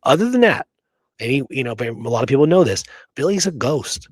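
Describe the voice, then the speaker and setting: A man talks history as a podcast co-host in a casual, conversational way. He makes his points emphatically and often tags them with a quick check for agreement. His pitch is average for a man, and the sound is close and dry. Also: sing-song, breathy edge, no echo. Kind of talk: casual history discussion with some reading aloud.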